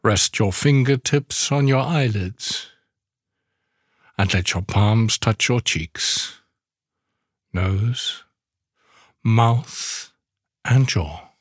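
Man guiding meditation, slow and dry. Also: fairly low pitch, no echo. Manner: slow, soothing instruction with long pauses. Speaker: meditation guide. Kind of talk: guided yoga nidra meditation.

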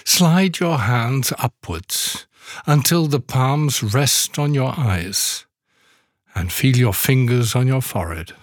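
A man leading a guided yoga nidra meditation, giving soothing instructions slowly with long pauses. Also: mid-range voice, expressive, no echo, studio quality.

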